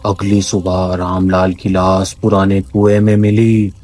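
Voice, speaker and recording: Guy doing dark mellow horror narration, suspenseful scripted storytelling, music in the background. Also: low voice, completely flat delivery, slow pace. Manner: ominous, suspenseful.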